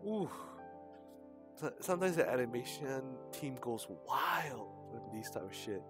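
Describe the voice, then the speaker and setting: A man live streaming a game, chatting casually and haltingly, muttering to himself and to his chat. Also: mid-range voice, expressive, over music.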